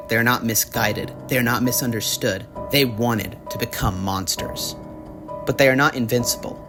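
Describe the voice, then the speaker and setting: A young man reading scripted horror documentary narration in an ominous, dramatic storytelling way. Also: medium pitch. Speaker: video essay narrator. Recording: music under the voice. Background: background music.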